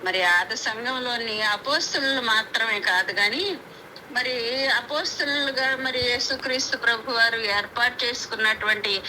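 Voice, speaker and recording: An Indian woman preaches in an earnest, deliberate devotional style, repeating key phrases on a conference call. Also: fairly high pitch. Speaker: preacher leading a prayer meeting. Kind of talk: devotional sermon with scripture reading and prayer. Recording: noisy conference call recording.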